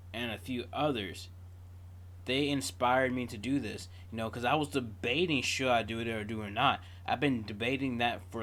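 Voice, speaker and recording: A man's voice: mid-range voice, fairly bright tone. A man with a mid-range voice talks as the host of a reaction channel. His delivery is casual and unscripted, like someone chatting to his viewers.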